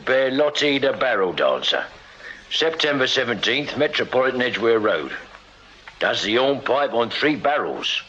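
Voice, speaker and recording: A bright-voiced London constable, deferential and plain-spoken, a touch bumbling when he reads aloud. It is period radio drama on a slightly dull, faintly hissy recording. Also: medium pitch, big pitch swings, normal speed. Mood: reading reports haltingly.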